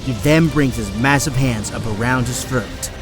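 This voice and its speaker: Male storyteller, mid pitch, dramatic action narration, very loud orchestral music behind. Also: normal speed.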